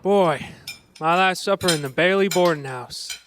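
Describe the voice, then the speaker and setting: A man's voice: high voice, moderate pace, noisy background, lightly acted. An actor in an old-fashioned live radio play playing a restless small-town young man, eager, boyish and stammering. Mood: confident, eager.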